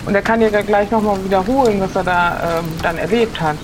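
A woman's voice: mid-range voice, fairly quick, noisy background. A woman improvising as a player in an audio adventure, speaking in character and describing what she does. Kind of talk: improvised in-character role play.

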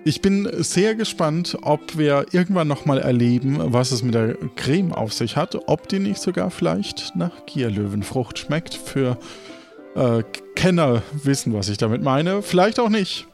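A man's voice: a little high, animated, a little slow, darkish tone, faint music behind. A man hosting a role-play podcast as game master, switching between casual chat and narration.

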